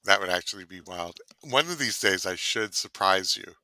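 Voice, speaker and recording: Low, bright-voiced man chatting casually. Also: expressive, moderate pace, dry recording. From Canada. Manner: rambling, trails off and restarts, savoring words. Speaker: co-host. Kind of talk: casual chat.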